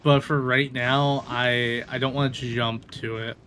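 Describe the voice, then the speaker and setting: A man doing casual live gameplay commentary in a playful, expressive way. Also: medium pitch, a little slow, a little hiss or hum. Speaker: game streamer. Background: music.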